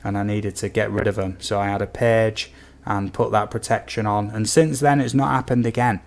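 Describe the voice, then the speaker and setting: A man from the UK with a slightly croaky voice, chatting casually and easily to viewers as a livestream host. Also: fairly low pitch, steady tone, normal speed. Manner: easygoing, chatty, addresses viewers as buddy and guys. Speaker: game streamer, host.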